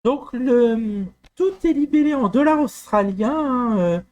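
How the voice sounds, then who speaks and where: A man from France talking through a software walkthrough, hesitant with frequent fillers and restarts. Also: very high-pitched, lively intonation, unhurried. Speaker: software tutorial presenter. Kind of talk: screen-recorded walkthrough.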